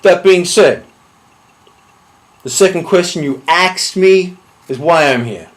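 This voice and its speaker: Shady, blustering male mobster, acted by a man: higher pitch, loud, rambling, comic self-importance. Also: lively intonation, takes it slowly. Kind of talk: comic monologue.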